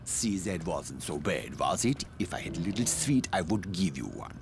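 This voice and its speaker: Video game scientist: low voice, formal and precise, politely condescending, slippery, ambient noise underneath. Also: male voice, expressive, normal speed. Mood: coaxing. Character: evasive self-justifying male scientist, polite and condescending. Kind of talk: dramatic video game cutscene acting.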